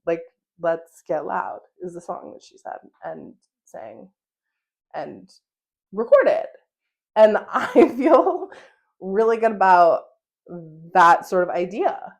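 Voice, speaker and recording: A young American woman talks through her solo podcast in a loose, chatty way. Her delivery is animated and full of fillers. She laughs easily as she goes. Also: mid-range voice, slow, vocal fry. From United States. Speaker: podcast host. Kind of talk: solo casual podcast monologue.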